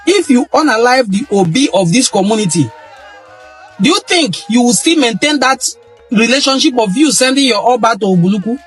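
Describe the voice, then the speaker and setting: A man's voice: very high-pitched, animated, a little fast, breathy edge, faint music behind. A middle-aged Nigerian man giving an impassioned monologue on history, emphatic and repeating phrases for stress. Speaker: cultural history commentator.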